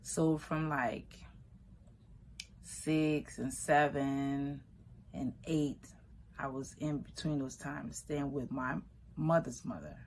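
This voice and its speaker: A middle-aged woman from Liberia recounts family memories in an unhurried, conversational way. Her voice sits low, and her pace speeds up and slows down as she talks. A faint ambient hum lies under the recording. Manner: relaxed, conversational, shifting pace, Liberian English phrasing. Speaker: vegan food business owner. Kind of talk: personal storytelling.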